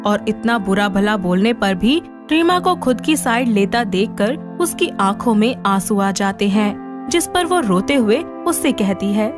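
A woman's voice: medium pitch, lively intonation, a little slow, music in the background. A woman reading scripted story narration as the narrator.

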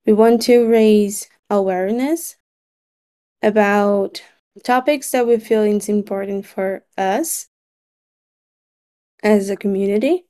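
A Brazilian-accented woman, Portuguese by birth tongue, facilitates a meeting over a video call. Her voice is mellow and soft-edged, and she speaks slowly and deliberately. She pauses often as she lays out the points. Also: mid-range voice. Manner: measured, slightly halting with frequent pauses. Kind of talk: online meeting recap.

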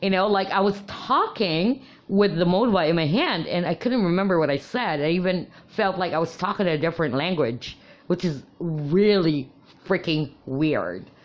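A woman's voice: on the low side, animated, moderate pace, muffled recording. A woman whose first language is Tagalog, casually telling a personal story with frequent laughs and fillers. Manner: chatty, laughing often, many fillers and restarts. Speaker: crystal vlogger.

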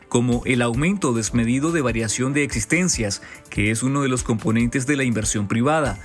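A man reading a scripted news voice-over in a steady, formal delivery. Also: mid-range voice. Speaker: news narrator.

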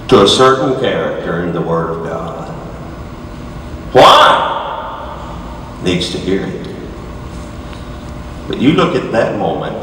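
Older preacher booming through an echoey hall. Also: male voice, far from the mic, noisy background. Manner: swings from hushed low lines to shouted high peaks; moves about the stage gesturing while preaching. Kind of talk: sermon. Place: large dark auditorium stage.

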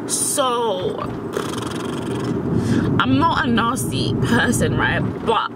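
A woman's voice: fairly high pitch, very animated, extremely slow. A young adult woman talks candidly to camera in a slangy, rambling way, repeating phrases for emphasis. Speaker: vlogger. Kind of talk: candid casual talk to camera. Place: inside a car, in the driver's seat. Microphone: camera mounted near the dashboard. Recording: loud car and outdoor noise.

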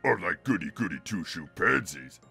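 A man's voice: deep voice, big pitch swings, normal speed, pushed and bright, soft background music, heavily acted. A man voicing a grumpy, boastful monster turtle king in a growling, sneering cartoon voice. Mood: smug, boastful. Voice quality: gravelly, growling. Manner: sneering, mocking, blustering. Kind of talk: cartoon character fan dub.

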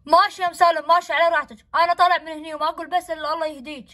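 A woman playing a stern, worried father in a homemade family skit, quick and exasperated. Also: very high voice, little pitch movement, pushed and bright.

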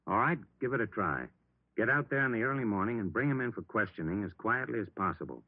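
An actor playing a middle-aged, steady police captain with an American accent in a 1950s radio drama, measured and authoritative. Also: male voice, lowish voice, slightly rough, dry recording. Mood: businesslike. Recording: dry, narrow-band vintage radio recording.

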